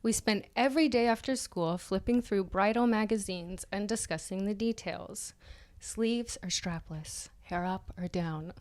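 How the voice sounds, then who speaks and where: A woman's voice: medium pitch, unhurried. A woman co-hosting a podcast, reading an essay aloud in a dramatic style mixed with casual banter. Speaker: podcast co-host.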